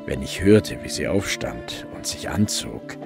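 A man's voice: very deep voice, big pitch swings, a little slow, vocal fry, full character voice. An actor playing a reflective police detective who narrates his own case in a crime radio drama. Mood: sober, reflective. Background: music.

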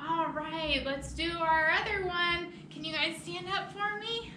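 A woman's voice: extremely high pitch, a little slow. A woman presenting a children's storytime, leading young children in a singsong action rhyme. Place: small children's classroom indoors. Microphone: distant camera microphone. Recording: echoey, noisy room, far from mic.

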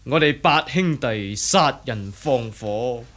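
A slow, swooping, menacing bandit monk. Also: male voice, medium pitch, animated, fairly bright tone. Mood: mock-pious menace. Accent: Hong Kong Cantonese. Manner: sneering, deliberate, theatrical. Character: menacing bandit chief posing as a monk, mock-pious. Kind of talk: Cantonese radio drama acting.

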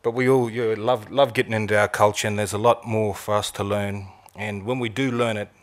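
A middle-aged Australian man speaking at a conference, relaxed and rambling, with many "you know"s. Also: lowish voice, brisk. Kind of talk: conference talk. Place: conference stage, indoors.

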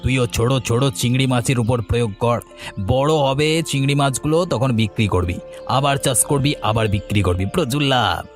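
Guy doing breezy greedy schemer pal in a cartoon, mid pitch, music underneath. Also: a little fast, natural voice. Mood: persuasive. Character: breezy, greedy, unscrupulous friend back from the city. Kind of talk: Bengali fairy-tale cartoon dubbing.

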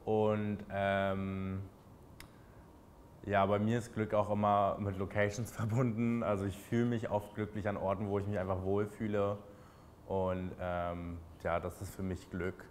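Relaxed Berlin-accented young man, lowish voice. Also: unhurried, slight background noise. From Berlin, Germany. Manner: relaxed, chatty, many fillers, laughs easily. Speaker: interviewee. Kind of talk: casual interview answers.

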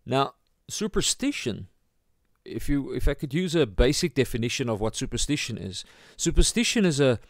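A South African man teaches in the manner of an informal sermon. His voice is medium in pitch with a gravelly creak, recorded dry without room echo. He often gropes for the right word and corrects himself. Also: very animated. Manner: halting, searching for words, self-correcting. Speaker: pastor.

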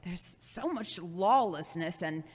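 Woman playing elderly mother, audio drama, earnest sermonizing, slow swooping delivery, gently scolding. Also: on the low side, lively intonation, breathy edge, heavily acted. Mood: earnest, admonishing. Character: loving, preachy seventy-year-old mother, gently scolding. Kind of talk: amateur audio drama acting. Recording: long hollow reverb.

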